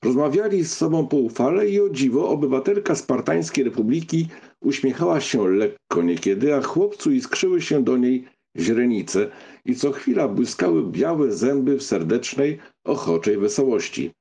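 A man narrating a literary audiobook from a script, with a gravelly, husky, mellow voice. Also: medium pitch, animated, moderate pace.

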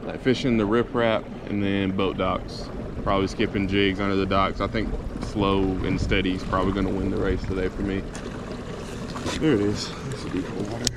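A young man narrating his kayak fishing trip casually, thinking out loud about his plans as he goes, recorded on a camera mounted on his kayak out on a lake. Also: low voice. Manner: relaxed, thinking aloud, quick asides. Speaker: kayak angler vlogger. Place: on a kayak on an open lake outdoors. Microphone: boat-mounted action camera. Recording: outdoor noise from water and wind.

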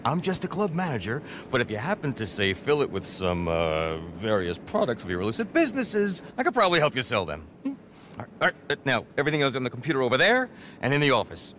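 An actor playing a flamboyant, exuberant nightclub manager and smooth-talking party lover in a video game, with a theatrical, gushing manner. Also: male voice, medium pitch, very animated, quick pace, pushed and bright, a little hiss or hum. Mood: smooth, sly. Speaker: club manager. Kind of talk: video game cutscene acting.

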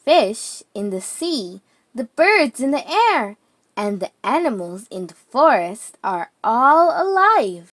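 A woman reading a children's picture book aloud, deliberately, phrase by phrase with pauses. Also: a little high, sing-song, fairly bright tone, no echo.

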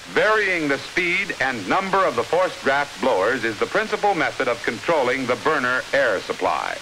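An American man reading scripted 1950s instructional film narration in a measured, clearly enunciated, authoritative manner. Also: medium pitch, pushed and bright, rough recording. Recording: hissy 1950s film soundtrack.

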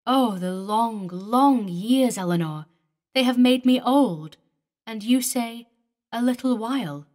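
A woman's voice: on the high side, lively intonation, slow pace, a little mellow, pitched above the performer's own voice. Sorrowful aging man, pleading and emotional, read by a woman. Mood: yearning.

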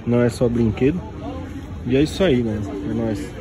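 A man's voice: medium pitch, a little fast, heavy background noise. A Brazilian man with a São Paulo accent chatting casually and in slang as a vlogger, walking through an outdoor market. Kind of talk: casual handheld market walkthrough, slangy chat. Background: crowd and street sounds.

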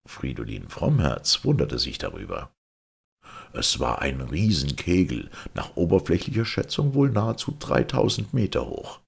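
Guy reading an audiobook, super deep voice, kinda husky, dramatic and measured. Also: huge pitch swings. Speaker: audiobook narrator. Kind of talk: scripted narration of an old science-fiction novel.

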